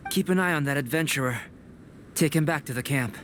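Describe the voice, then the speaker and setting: A man voicing a composed young alchemist in a video game, speaking in a measured, formal, analytical way. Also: fairly high pitch, a bit bright, a little hiss or hum, lightly acted. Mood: calm, polite. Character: composed, scholarly young male alchemist, coolly curious.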